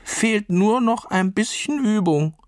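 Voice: medium pitch, expressive, slow, dry recording, clean studio sound, pitched above the performer's own voice. A storyteller voicing a plucky female guinea pig who wants to be a pirate, bold and eager. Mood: proud.

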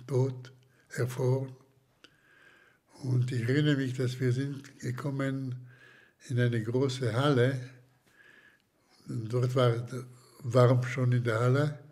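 An older man from Slovakia, giving slow, hesitant testimony in an interview with many pauses and restarts. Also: medium pitch, darkish tone. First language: German as childhood language, long unused.

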